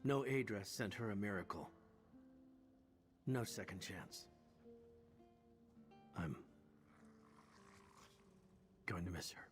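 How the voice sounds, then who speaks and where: An actor playing a roguish, sincere adventurer in a video game, wry and a little haunted. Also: male voice, on the low side, lively intonation, unhurried, faint music behind. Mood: wistful, tender. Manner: wry banter turning earnest and reflective.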